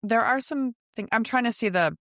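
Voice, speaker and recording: A woman's voice: on the high side, lively intonation, normal speed, a bit bright, dry recording, clean studio sound. A woman in her forties co-hosting a podcast, chatting casually in a hesitant, reflective way. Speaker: podcast co-host. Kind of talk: casual chat.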